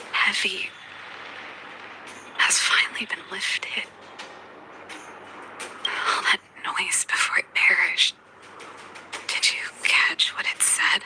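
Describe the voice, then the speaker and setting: A woman playing a cool, candid, world-weary fighter in a video game, with a breathy voice. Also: medium pitch, rough recording.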